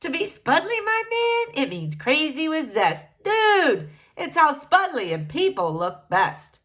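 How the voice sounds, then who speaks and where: A woman's voice: high-pitched, huge pitch swings, slow, fairly bright tone, voice pushed up, heavily acted. Woman putting on a groovy, drawling hippie shaman dude. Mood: proud, emphatic. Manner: drawling slang, calling listeners dude. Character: laid-back groovy hippie shaman man, mellow mystic.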